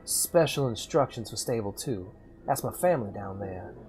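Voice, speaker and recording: A man's voice: fairly low pitch, expressive, normal speed, over music. A man voicing a sweet young mare in an old recorded message, with a put-on British accent, tender and pleading. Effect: old crackly recorded message.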